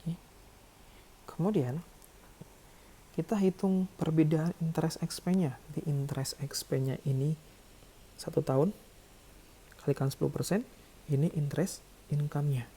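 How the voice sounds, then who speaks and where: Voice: medium pitch, fairly even intonation, moderate pace, pauses often, warm tone, breathy edge. A lecturer teaching in a tutorial style, talking through figures step by step with frequent check-in tags. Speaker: accounting lecturer. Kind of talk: tutorial narration, working through figures aloud.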